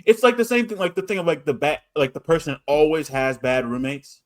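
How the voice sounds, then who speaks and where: A man's voice: fairly high pitch, big pitch swings, brisk. A man in casual podcast chat, mocking and emphatic, often repeating his phrases to drive them home. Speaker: podcast host.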